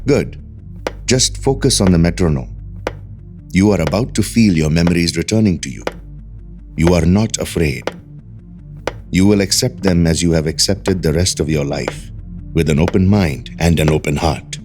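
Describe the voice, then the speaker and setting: An actor playing a calm, persuasive research doctor in an audio drama, speaking in a measured, polite, soothing way. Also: male voice, low-pitched. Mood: soothing, hypnotic.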